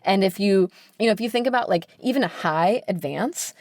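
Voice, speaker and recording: A woman's voice: medium pitch, animated, fairly quick, a little mellow, dry recording, clean studio sound. An American woman speaking candidly and emphatically as an editor being interviewed on a podcast, peppering her talk with "like". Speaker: book editor, podcast guest.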